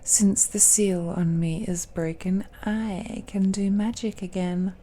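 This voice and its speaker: A woman playing an ancient, all-powerful, possessive villainess who speaks condescendingly and coaxingly in a romantic audio roleplay, often dropping to a breathy whisper. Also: mid-range voice, steady tone, takes it slowly, dark tone, a little hiss or hum, close to an everyday voice. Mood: grandly doting. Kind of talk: romantic audio roleplay, intimate monologue to listener.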